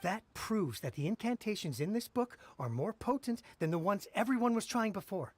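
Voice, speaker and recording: A man voicing an eager, gossipy townsman in a video game, earnest and husky. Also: high voice, expressive, moderate pace. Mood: eager gossip. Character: eager, gossipy, credulous townsman spreading supernatural rumors.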